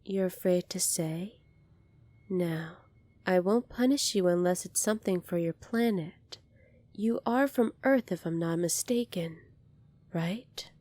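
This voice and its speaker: A woman playing a poised, kindly young alien princess in an ASMR roleplay, polite, formal and measured, soft-spoken with breathy moments. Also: fairly low pitch, no room sound. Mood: curious, courteous.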